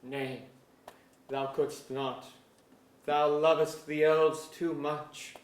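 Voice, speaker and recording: A man acts out a defiant young fantasy king with a high voice and slow, lyrical delivery. He speaks archaic stage verse in an echoing auditorium. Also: little pitch movement. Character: young defiant doomed elf-blooded male ruler, lovesick and lyrical. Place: museum auditorium, staged reading.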